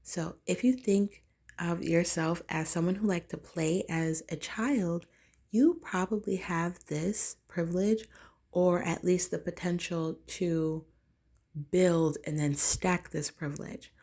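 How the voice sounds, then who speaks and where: Woman, low-pitched, raspy, casual vlog monologue, rambling, lots of fillers. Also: fairly even intonation, slow pace, dark tone. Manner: rambling, filler-heavy, loose and conversational. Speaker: vlogger. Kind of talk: casual talking-head monologue.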